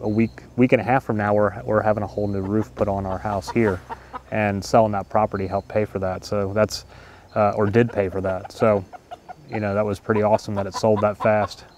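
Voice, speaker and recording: A man's voice: lowish voice, flat delivery, a little fast, darkish tone, slight background noise. Easygoing older man rambling in a garden. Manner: rambling, easygoing, many fillers and restarts. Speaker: homestead vlogger. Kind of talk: casual talk to camera. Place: outdoor garden.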